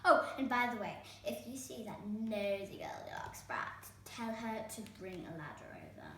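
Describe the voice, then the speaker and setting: A woman's voice: mid-range voice, sing-song, moderate pace, heavy reverb, far from the mic, a little hiss or hum. A woman voicing a furious, menacing fairy-tale stepmother in a screeching, threatening storybook voice.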